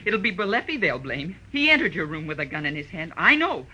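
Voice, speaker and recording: A woman's voice: lowish voice, sing-song, a little fast, bright, forceful tone, husky, lo-fi sound, full character voice. A woman playing a desperate, coldly apologetic murderess, speaking in short, intense confessional sentences in 1940s radio melodrama style. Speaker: radio drama actress. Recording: noisy old radio broadcast.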